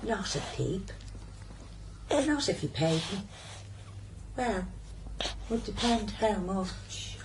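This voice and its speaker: A woman's voice: mid-range voice, lively intonation, takes it slowly, long pauses. A woman acting in a radio drama as an irritable, sly poorhouse inmate who complains and taunts. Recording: noisy radio drama ambience.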